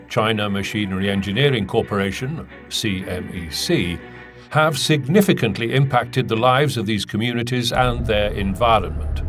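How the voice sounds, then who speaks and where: A man's voice: mid-range voice, natural voice. A man playing an earnest prosecutor. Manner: formal and earnest. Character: earnest male prosecuting attorney. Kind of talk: formal courtroom address.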